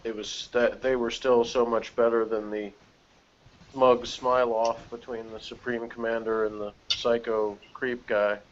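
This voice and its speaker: A man's voice: mid-range voice, little pitch movement, measured pace. A man chatting as a review panelist in an informal, rambling way with plenty of asides. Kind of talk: casual review chat. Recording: dull, noisy online call audio.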